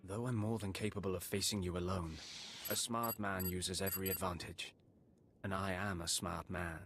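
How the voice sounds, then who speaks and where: An actor playing a scornful, condescending spy in a video game, self-satisfied and quietly threatening. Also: male voice, low-pitched, flat delivery, moderate pace, noisy background. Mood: smug menace. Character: smug, scornful double-crossing male spy.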